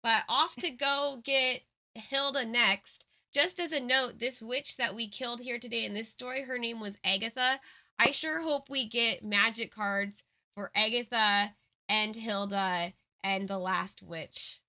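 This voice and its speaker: Woman co-host, casual commentary, storytelling, bright crisp tone, slightly high, slow pace.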